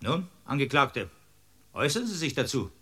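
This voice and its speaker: A man's voice: mid-range voice, sing-song, normal speed, bright, forceful tone, husky, heavily acted. A man with a Bavarian accent playing a pompous, prudish judge who scolds in a comic radio drama. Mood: stern, officious. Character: flustered, prudish, stern male Bavarian courtroom judge.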